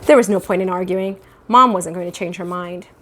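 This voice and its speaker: A woman's voice: on the low side, fairly quick, a little hiss or hum. A woman in her thirties reading a children's book aloud expressively, like a teacher with a class book. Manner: animated, acting out dialogue. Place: small indoor room at home. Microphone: clip-on lapel mic.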